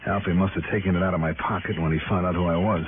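An actor playing a weary, no-nonsense private investigator in a 1960s radio detective drama, terse and commanding. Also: male voice, low-pitched, steady tone, racing, phone-quality sound, background noise, lightly acted. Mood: urgent, commanding. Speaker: lead actor and first-person narrator. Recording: thin band-limited vintage radio recording.

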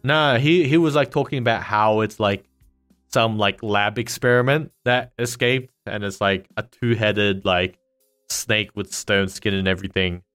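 A man's voice: a little slow, clean tone, faint music behind. A man hosting a casual podcast, teasing his co-host and laughing often. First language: not Chinese. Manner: teasing, laughs often, lively swings in pitch. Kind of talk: casual chat.

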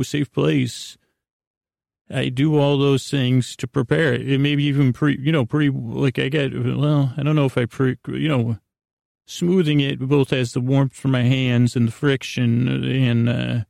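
A man hosting a bedtime podcast, rambling haltingly in a creaky, soothing voice and often trailing off mid-thought. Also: medium pitch, darkish tone, no room sound, clean studio sound. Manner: soothing, lulling delivery. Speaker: podcast host.

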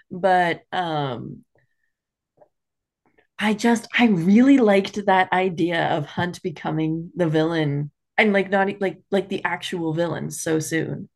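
Lady book podcaster, slightly deep voice, wry and informal, lots of likes. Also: creaky. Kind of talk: casual opinionated chat.

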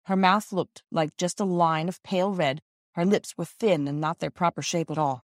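A woman's voice: on the low side, steady tone, normal speed, no room sound, clean studio sound. A woman reading a children's story aloud as scripted audiobook narration.

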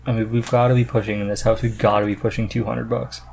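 A man's voice: lowish voice, level tone, fast talker, vocal fry, darkish tone, slight background noise, poor audio. A man hosting a podcast in a casual, conversational chat. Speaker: podcast host.

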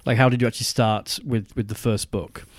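Guy hosting a casual podcast interview, medium pitch, no room echo, thinks out loud. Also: steady tone, brisk, clean studio sound. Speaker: podcast host.

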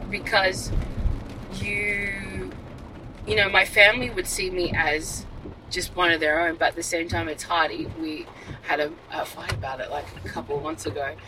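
Young woman, candid animated storyteller, lots of restarts and fillers, street noise in the background. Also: lowish voice, normal speed. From Australia. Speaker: actor and writer. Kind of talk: casual interview chat.

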